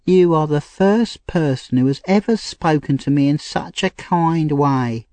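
A man's voice: a little slow, warm tone, dry recording, lightly acted. A man voicing a frightened, tearful young woman thief in a storybook reading, pleading and urgent. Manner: pleading, urgent and high-strung. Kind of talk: audiobook character reading.

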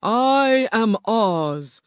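A narrator booming as the Great Oz. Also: lively intonation, extremely slow, no echo. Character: booming giant wizard head, terrifying.

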